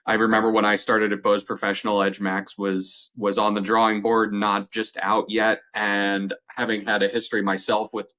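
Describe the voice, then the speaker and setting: A man giving a conversational, measured technical explanation as a product expert in an interview, restating points for emphasis. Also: lowish voice, little pitch movement, bright, forceful tone. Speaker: company representative, audio product expert.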